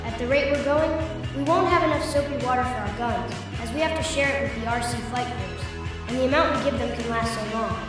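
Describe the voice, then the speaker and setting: A woman's voice: fairly high pitch, a little fast, natural voice. A woman voicing a plucky, earnest kid hero with an urgent manner in a cartoon comic dub. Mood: pondering. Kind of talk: fan comic dub voice acting. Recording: loud music behind the voice. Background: music.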